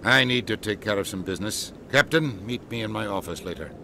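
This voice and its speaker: A man's voice: on the low side, a little fast, vocal fry, sharp tone, a little hiss or hum. A man playing a stern, pragmatic middle-aged politician in a video game, curt and authoritative. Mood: curt, businesslike. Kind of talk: video game cutscene acting. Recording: music behind game dialogue.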